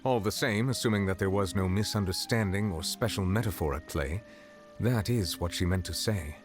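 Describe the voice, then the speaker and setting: An actor playing a dignified male chief justice who speaks in a formal, measured, deliberate way, heard as game dialogue over faint music. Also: deep voice, lightly acted.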